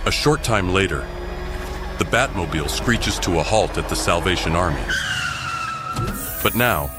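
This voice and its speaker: A man's voice: low-pitched, lively intonation, moderate pace. A man narrating an audio drama in a scripted, dramatic storytelling style. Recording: music behind the narration.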